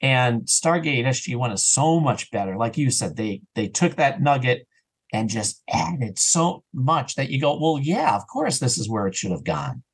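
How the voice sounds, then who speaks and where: A man talking as a podcast guest in a casual, enthusiastic and animated way, slipping into imagined remarks as an audio drama creator. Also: mid-range voice, moderate pace, creaky, husky. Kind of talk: casual enthusiastic interview chat.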